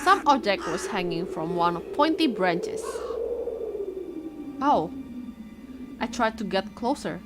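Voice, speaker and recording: A woman's voice: mid-range voice, slow, long pauses. A young woman streamer whose first language is Indonesian, reading a visual novel aloud and breaking off to react and greet her chat. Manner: reads the story dramatically, breaks off for excited reactions and greetings to chat. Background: game music.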